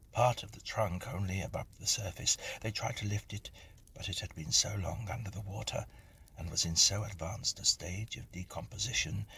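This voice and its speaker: Deep, gravelly-voiced man narrating a ghost story. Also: sharp tone. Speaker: reader and narrator of ghost stories. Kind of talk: scripted dramatic narration of a Victorian ghost story.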